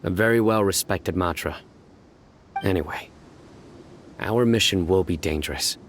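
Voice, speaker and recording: A calm, protective young lawman in an anime-style game. The voice sits low, formal and measured, stern without hurry, with a little noise behind it. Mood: solemn.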